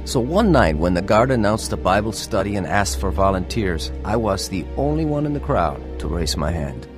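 Low-voiced rebellious young sailor. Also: male voice, very animated, normal speed. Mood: reflective narration. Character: rebellious hot-tempered young sailor recounting his past. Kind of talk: dramatized radio testimony, narration and dialogue. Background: music.

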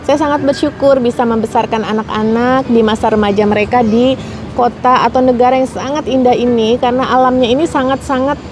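Woman vlogger in her forties from Indonesia, chatty, somewhat high voice, lapel mic with loud street noise behind. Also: steady tone, fairly quick. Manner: chatty, answers viewer comments with many fillers. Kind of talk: casual chat to viewers, reading comments. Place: outdoors on a city street. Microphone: clip-on lapel mic.

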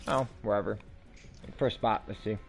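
A man's voice: fairly even intonation, moderate pace, creaky, faint music behind. Joking man in his twenties, gaming. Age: 20s. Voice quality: high-pitched for a man. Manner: joking, easygoing banter. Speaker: streamer. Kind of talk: casual gaming chat.

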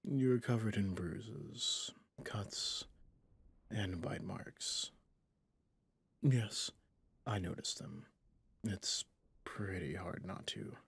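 A man's voice: deep voice, animated, no echo, exaggerated voice. A man playing a stern, menacing, centuries-old vampire hunter in an ASMR roleplay, commanding and often dropping to a whisper. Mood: suspicious, brooding. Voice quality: husky, breathy, gravelly, often dropping to a whisper. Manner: slow, commanding, interrogating.

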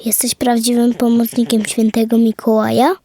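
A woman's voice: fairly high pitch, little pitch movement, normal speed, warm tone, no echo, studio quality, voice pushed up, natural voice. A woman playing a curious, friendly and bold little girl in a children's audio fairy tale, eager and full of wonder.

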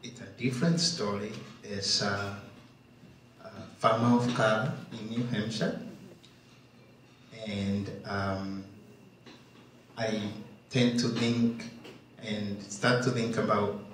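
Slow-spoken Kenyan man in echoey hall. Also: lowish voice, fairly even intonation, a bit bright, a little hiss or hum. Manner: slow, thoughtful, halting. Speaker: panelist, farmer. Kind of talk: panel discussion remarks. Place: large wooden barn hall, indoors. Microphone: handheld mic, far camera. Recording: echoey barn from far off.

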